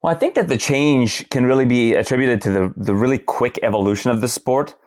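A man from Canada with a Canadian accent, a guest on a podcast, speaking in a measured, analytical way. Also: mid-range voice, darkish tone, no room sound, clean studio sound. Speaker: podcast guest, sports journalist. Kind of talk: casual podcast chat.

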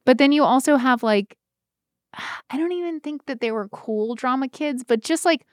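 A woman in her thirties co-hosting a casual chat, telling stories in an animated, irreverent way peppered with "like". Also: high voice, normal speed, no echo, clean studio sound. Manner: animated, irreverent, frequent "like" filler. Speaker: podcast co-host.

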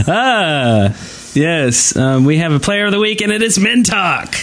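A man hosting a podcast in casual chat, informal and halting as he goes. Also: a little high, animated, fairly bright tone. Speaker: podcast host.

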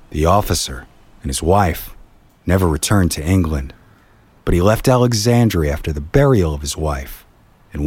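Male narrator for history storytelling: very low, husky voice, measured and solemn. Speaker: narrator, podcast host.

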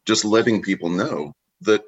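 A man's voice: fairly low pitch, moderate pace, husky, lo-fi sound. A man interviewing in a conversational style, asking questions and sharing his own experience. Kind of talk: conversational interviewing with personal anecdotes.

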